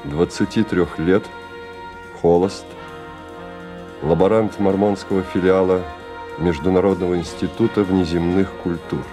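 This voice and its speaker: Radio play announcer: deep, clipped, official dossier reading, music underneath. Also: male voice, steady tone, a little slow, long pauses. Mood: formal. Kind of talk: scripted radio drama announcement.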